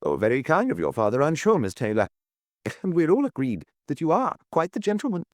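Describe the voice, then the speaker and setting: A man's voice: on the high side, wildly swooping pitch, a little fast, no room sound, voice pushed up. A man voicing a breezy, wry young adventurer working as a tour guide, with a dry, teasing manner.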